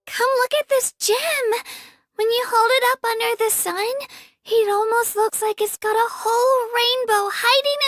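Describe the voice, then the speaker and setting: A woman's voice: extremely high pitch, pushed and bright, full character voice. A woman voicing a timid, earnest young girl miner in a video game, speaking sweetly and a little hesitantly. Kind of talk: animated game character voice lines.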